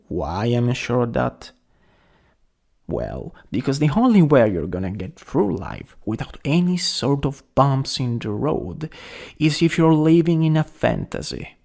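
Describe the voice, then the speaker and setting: A young man acting a caring, worried best friend in an ASMR roleplay, gentle, hesitant and intimate. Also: mid-range voice, vocal fry, exaggerated voice. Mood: earnest, comforting.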